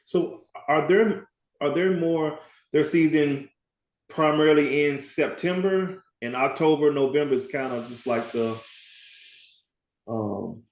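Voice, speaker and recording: A man's voice: fairly high pitch, slow. Man, party rental owner; casual, rambling, laughs a lot; roomy sound. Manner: chatty, rambling, laughs often. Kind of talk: casual video call roundtable.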